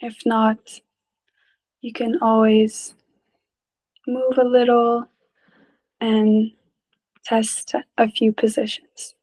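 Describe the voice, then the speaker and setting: A woman leading a guided meditation in a gentle, calm, unhurried way, leaving long pauses between short phrases. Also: a little high, rough recording. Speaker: meditation teacher.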